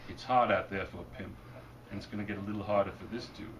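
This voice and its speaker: A man reading his fiction aloud at a bookstore event, deadpan and wry, and losing his voice. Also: on the low side, quick pace. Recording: distant mic, noisy room. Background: audience laughter.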